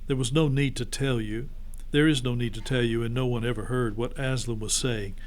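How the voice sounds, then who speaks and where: A man's voice: medium pitch, steady tone, fairly quick, poor audio. A man reading a children's storybook aloud as a narrator.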